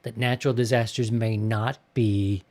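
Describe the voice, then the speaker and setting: A man's voice: on the low side, fairly even intonation, moderate pace, darkish tone. A man hosting a podcast in casual chat, talking in an enthusiastic, rambling way. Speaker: podcast host.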